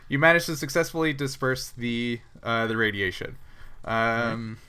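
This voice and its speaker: A man acting as game master for a tabletop role-playing game, explaining rules and situations in a halting way. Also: mid-range voice, sharp tone, clear, resonant tone.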